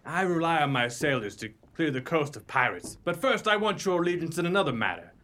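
Young monarch for radio comedy: lightish high voice, lively inflection, courtly, ardent, formally declamatory. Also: male voice, brisk. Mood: ardent wooing. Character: noble young king revealed from disguise, courtly and ardent. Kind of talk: theatrical radio pirate comedy acting.

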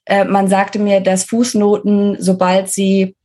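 A woman's voice: mid-range voice, monotone, slow, dark tone. A woman, an author explaining things conversationally as an interview guest.

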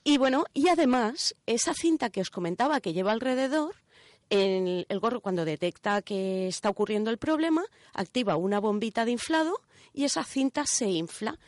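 A woman's voice: a little high, lively intonation, normal speed, dry recording. A woman with an accent from Spain presenting a segment in casual radio chat, colloquial and often checking in with short tag questions.

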